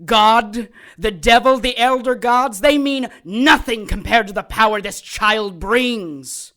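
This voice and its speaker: A woman's voice: on the high side, slow pace, pushed and bright. A woman playing a scheming, megalomaniac male sorcerer villain in an audio drama, theatrical and taunting. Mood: gloating, scheming.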